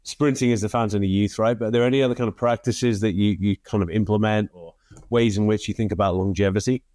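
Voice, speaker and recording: A man's voice: fairly low pitch, fairly even intonation, fast talker, darkish tone, no echo, studio quality. A man hosting a podcast interview, asking questions and backing up his guest with frequent agreement. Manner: encouraging, with frequent "yeah" and small restarts. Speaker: podcast host.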